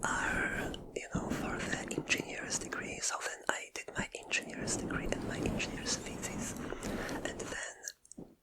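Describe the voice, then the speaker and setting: A woman's voice: unhurried, rough recording. A woman in her twenties, a native Polish speaker, whispering in a chatty, self-mocking ASMR ramble. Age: 20s. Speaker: ASMR creator.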